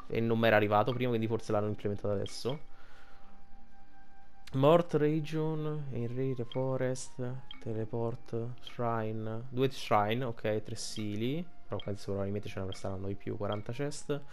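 A man's voice: mid-range voice, unhurried, a little mellow, a little hiss or hum. An Italian man giving casual gameplay commentary, rambling and thinking aloud as he plays. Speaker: gaming streamer.

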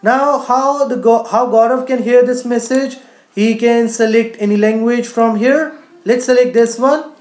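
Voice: mid-range voice, steady tone, a little slow, darkish tone, reverberant. A teacher narrating a screen-share tutorial in an explanatory way, often saying "you know". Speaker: coding teacher.

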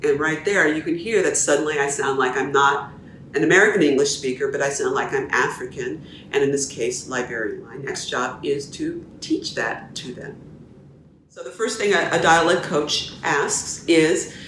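A middle-aged woman, a dialect coach, explaining her process to camera in a measured, teacherly way from a small room at home. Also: low voice, a bit bright, far from the mic, a little hiss or hum. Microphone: camera at a distance. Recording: echoey room, voice a little off-mic.

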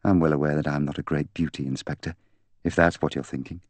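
Audiobook role: proud young woman, bitter and candid, intense delivery, low voice performed by a man. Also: fairly even intonation, quick pace, no echo. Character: proud, bitter young woman confessing to killing her fiancé. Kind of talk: audiobook character dialogue.

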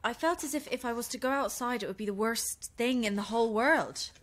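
An actress playing a flustered, indignant reluctant guest in a horror-comedy audio drama, with a prim manner. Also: female voice, a little high, brisk, a bit bright, close to an everyday voice. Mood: shaken, indignant. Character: flustered, indignant English woman, romance novelist, reluctant guest.

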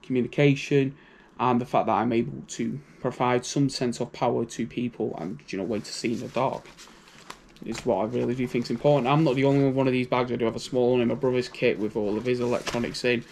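A man's voice: mid-range voice, steady tone, quick pace, creaky, darkish tone, slight background noise. A man with a British accent giving a casual, rambling walkthrough of his gear, often adding "you know". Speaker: prepping channel host.

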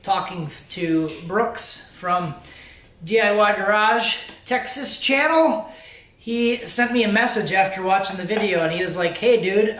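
A man's voice: sits high, a bit bright. A man in his thirties talking casually to the camera in a home garage, explaining things informally as a car-build vlogger. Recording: roomy, echoing garage sound.

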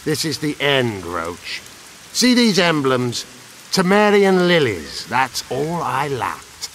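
An actor playing a proud, menacing elven guerrilla commander in a video game, haughty and deliberate. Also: male voice, mid-range voice, huge pitch swings, a bit bright, heavily acted, low-quality recording. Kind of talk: video game dramatic acting. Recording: clear rain and hiss behind.

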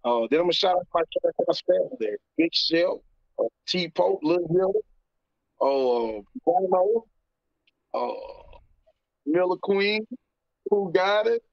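Middle-aged American man, slightly high voice, halting casual interview guest, dry muffled call audio. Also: expressive, creaky, no room sound. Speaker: interview guest, rapper and label CEO. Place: indoor room, video call.

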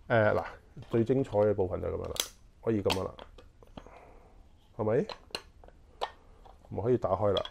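Chatty Hong Kong man, fairly low voice. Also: dull audio, noisy background. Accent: Hong Kong Cantonese. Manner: chatty, thinking aloud with many fillers. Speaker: camping gear reviewer. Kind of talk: casual unboxing talk. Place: small living room at home. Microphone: clip-on lapel mic.

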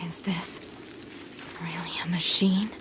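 A woman's voice: lowish voice, flat delivery, takes it slowly, noisy background. An actress playing a stoic, reserved female android soldier in a video game, terse and restrained. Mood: disbelieving. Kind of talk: dramatic video game acting. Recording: music behind the voice.

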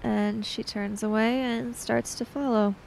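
A woman's voice: a little high, steady tone, a little slow, vocal fry, darkish tone, a little hiss or hum, low-quality recording. A woman improvising narration for her character in a tabletop role-playing game, tentative and thoughtful.

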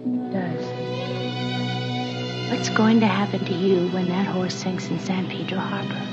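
A woman's voice: on the low side, fairly even intonation, a little slow, lightly acted. A young actress playing a principled, anxious frontier woman in an old TV western, speaking earnestly and insistently. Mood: exasperated. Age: young adult. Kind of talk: 1960s TV western acting. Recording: dull old TV soundtrack, loud music.

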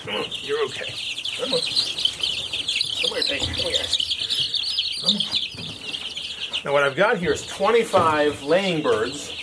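A man's voice: a little high, expressive, a little slow, pauses often. A man speaking casually and plainly as a farmer, explaining things off the cuff in a folksy way outdoors. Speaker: farmer presenting how-to video. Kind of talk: casual unscripted demonstration. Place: outdoors on a farm, concrete patio and pens. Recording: noisy farm recording with chirping birds. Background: loud bird chirps, clucking, clicks.

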